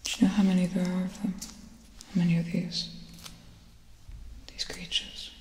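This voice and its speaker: A young woman doing a slow, serious, hushed ASMR roleplay as a tough, caring space officer tending wounds. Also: lowish voice, flat delivery, reverberant, slight background noise. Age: young adult.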